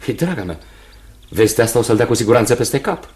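An actor playing a kindly, nervous young fiancé in a Romanian radio play, earnest and hesitant, often repeating himself. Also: male voice, mid-range voice, expressive, darkish tone, a little hiss or hum, lightly acted. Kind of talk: Romanian radio theatre acting.

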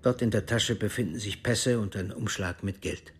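An actor in a radio drama playing a cool, secretive intelligence officer who speaks formally and with measured control. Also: male voice, on the low side, breathy edge. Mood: frank, weary. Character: cool secretive East German intelligence officer, frankly world-weary.

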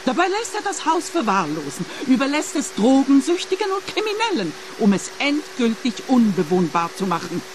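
A woman's voice: fairly high pitch, huge pitch swings, normal speed, few pauses, noisy background. An actress playing a haughty, scheming former landlady in a radio play, prim and cutting.

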